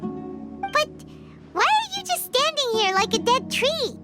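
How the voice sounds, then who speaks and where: Squeaky, very high childlike fairy. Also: female voice, sing-song, slow pace, full character voice. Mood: curious. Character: small chirpy childlike fairy companion, curious and cheeky. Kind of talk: video game voice acting. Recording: music behind the voice.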